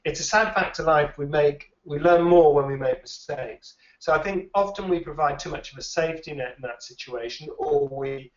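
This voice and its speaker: A man, a recruitment trainer, answers questions at an easy pace and circles back on his words as he thinks. His voice sits a little high for a man. It is recorded from a distance in an echoey room, with a dull, muffled sound. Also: moderate pace, hardly any pauses. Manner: thoughtful, repeats words while thinking. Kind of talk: conversational interview answers.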